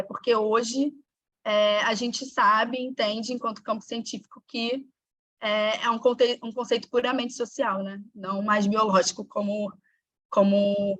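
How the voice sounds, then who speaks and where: Flat-toned woman on a video call. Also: fairly high pitch, no echo, lo-fi sound. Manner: filler-heavy with repeated words. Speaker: panelist. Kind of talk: explanatory talk.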